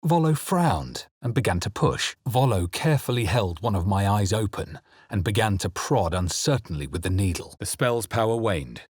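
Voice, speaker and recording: A man playing a grave fantasy game adventurer, with solemn, measured, dramatic delivery as he narrates his journey. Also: fairly low pitch, animated, darkish tone, slightly rough, dry recording, studio quality. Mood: solemn, intent. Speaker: video game player-character voice.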